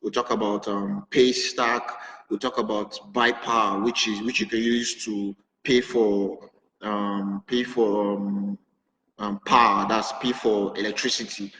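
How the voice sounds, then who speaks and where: A man's voice: fairly low pitch, flat delivery, a little slow, bright, forceful tone. A Nigerian man whose first language is Igbo answers interview questions on a call, hesitant, with many restarts and fillers. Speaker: interview guest, web developer. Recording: roomy, echoey call audio with long reverb.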